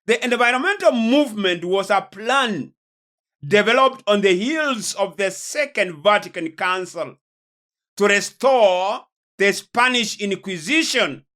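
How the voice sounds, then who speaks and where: A man preaching in a lecture style, deliberate and emphatic, pausing and repeating phrases for weight. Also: sits high, expressive, pushed and bright. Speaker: preacher, host of a religious programme. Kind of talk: sermon-like lecture on prophecy and world events.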